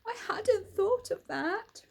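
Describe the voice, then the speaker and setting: A woman's voice: very high-pitched, slow pace, creaky, pitched above the performer's own voice, exaggerated voice. A woman doing an eager little boy bear. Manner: excited, wide-eyed.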